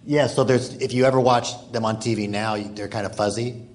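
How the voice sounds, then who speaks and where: A man's voice: mid-range voice, fairly even intonation, fairly quick. A man casually moderating a live stage panel, often repeating and restarting his phrases. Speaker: panel moderator, high school teacher. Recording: live stage microphone with background noise.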